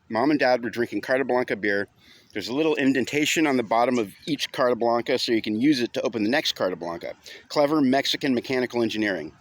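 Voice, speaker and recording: A man's voice: mid-range voice, no echo. A man narrating a first-person audiobook story in an informal, wry, conversational way.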